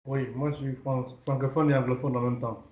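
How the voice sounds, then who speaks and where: A man's voice: medium pitch, little pitch movement, fairly quick. A man taking part in an online training, giving short, direct answers on a video call. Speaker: training participant. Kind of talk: brief replies on a video call. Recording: echoey, muffled call audio.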